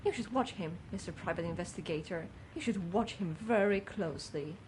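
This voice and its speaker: A woman's voice: on the low side, animated, fairly quick, noisy background, lightly acted. A woman playing a gossipy, judgmental older housekeeper in a video game, with a fussy, haughty manner. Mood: disapproving, suspicious. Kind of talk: scripted game character dialogue.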